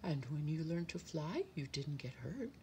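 Woman voicing a gentle, cooing turtle dove. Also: deep voice, big pitch swings, normal speed, a little hiss or hum. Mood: reassuring. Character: gentle, kindly motherly turtle dove, calm and wise. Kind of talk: children's storybook character voice.

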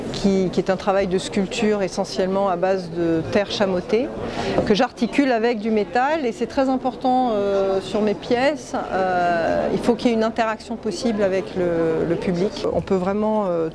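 A middle-aged woman who is a sculptor, talking about her work in an interview at an art fair, thoughtful and unhurried with many pauses. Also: mid-range voice. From Denmark. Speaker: exhibiting sculptor. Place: busy indoor exhibition hall. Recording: noisy crowd in a hall. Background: crowd chatter.

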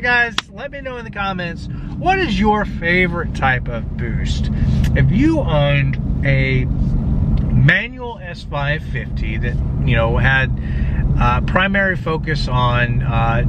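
A man in his thirties chatting casually to a dashboard camera from inside his car as a car vlogger, rambling with lots of fillers and saying he is losing his voice. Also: on the high side. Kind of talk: casual talk to camera while driving. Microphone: camera mounted on the dashboard. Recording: dull distant car-cabin audio with loud road noise.

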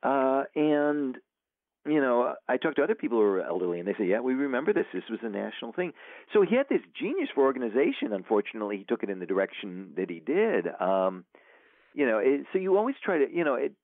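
A man's voice: medium pitch, sing-song, quick pace, no echo. A man, an author guest, chatting casually in an interview, rambling with lots of fillers and restarts. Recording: tinny phone line, dry.

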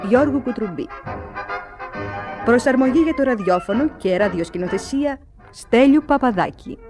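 Lady reading radio play credits formally, slightly high voice, music playing behind. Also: expressive. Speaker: announcer. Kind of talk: formal scripted credits announcement.

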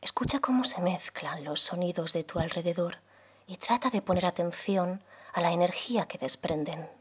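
A woman leading a guided meditation as a yoga guide, speaking softly and soothingly, unhurried, with pauses between phrases. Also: on the low side, husky. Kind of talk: guided meditation, slow calm instruction.

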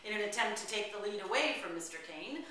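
A middle-aged woman reading satirical news headlines aloud from a script, with deliberate, expressive delivery. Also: medium pitch. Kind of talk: mock newscast read aloud from script at rehearsal. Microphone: far camera. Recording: echoey room, distant camera mic, faint noise. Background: small group of colleagues in the room.

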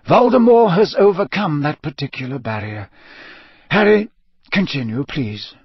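A man voicing a weary, wise old wizard headmaster with a slow, solemn, measured delivery. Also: a little high, very animated, husky, no room sound, lo-fi sound, voice pushed up, full character voice. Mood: grave.

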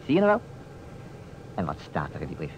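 A man's voice: a bass voice, wildly swooping pitch, brisk, full character voice. An actor playing a playful, insistent man who stages a detective puzzle in a radio play, with a teasing, emphatic manner. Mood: theatrical. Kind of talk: Dutch radio play acting. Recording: dull, noisy old radio recording.

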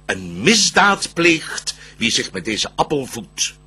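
An actor playing a shrewd, wary devil in a Dutch verse radio drama, declaiming intensely in a raspy voice. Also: male voice, medium pitch, big pitch swings, slow, pushed and bright, heavily acted. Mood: brooding, indignant. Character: shrewd, wary male devil, conspiring accomplice in temptation.

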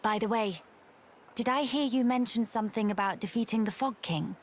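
A woman's voice: a little high, natural voice. Formal, dignified young noblewoman played by an actress. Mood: earnest. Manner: formal, measured diction. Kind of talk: video game voice acting.

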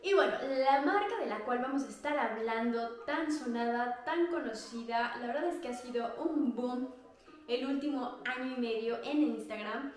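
Young mexican woman, bubbly and chatty, bit high voice, lapel mic in a super echoey little room. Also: normal speed. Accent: Mexican Spanish. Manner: bubbly, chatty, gestures a lot, laughs often. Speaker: activewear review vlogger. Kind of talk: casual product review vlog. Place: small indoor room at home. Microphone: clip-on lapel mic.

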